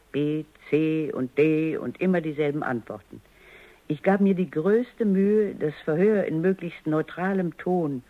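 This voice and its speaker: An actress playing a stern, exacting middle-aged woman robot psychologist in a radio drama, speaking precisely and insistently. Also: deep voice. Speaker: lead actor and first-person narrator. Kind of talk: radio drama acting and narration. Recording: dull, band-limited radio recording.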